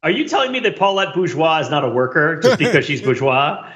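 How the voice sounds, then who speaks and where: A man's voice: fairly high pitch, big pitch swings, a little fast, fairly bright tone. A middle-aged American man chatting casually and at rambling length as a podcast co-host.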